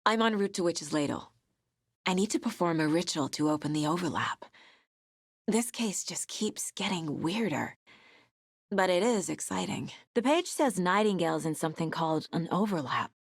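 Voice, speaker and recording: A very dry, close recording of a sharp federal investigator in a video game. She is measured and thoughtful, working through things in short, clipped phrases with steady determination. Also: lowish voice, creaky, husky, no room sound, heavily acted. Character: determined female FBI agent and mother, sharp, inquisitive investigator.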